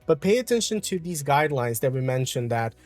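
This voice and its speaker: A man from Brazil with a Brazilian Portuguese accent, calmly explaining an English lesson with frequent fillers. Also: medium pitch, brisk, no room sound. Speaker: English teacher.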